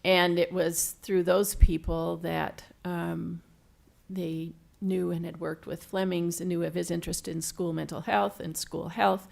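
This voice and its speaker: A woman in her sixties from Washington State speaks on a panel, reflective and measured. Her voice is heavily gravelly, fairly low, and very flat in pitch. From Washington State, USA. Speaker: panelist, researcher. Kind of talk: panel discussion.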